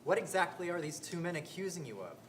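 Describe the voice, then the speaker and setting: An actor playing a stern, accusing high priest who interrogates a prisoner in a declamatory, demanding manner in a dramatic reading. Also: big pitch swings, quick pace, close to an everyday voice. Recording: faint background room noise.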